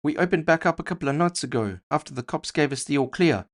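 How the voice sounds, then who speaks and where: A man's voice: fairly high pitch, animated, quick pace, voice pushed up. A man voicing a hulking, friendly cyborg orc boxer with an amiable, unruffled manner in an audio fiction. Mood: casual. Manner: amiable, unperturbed.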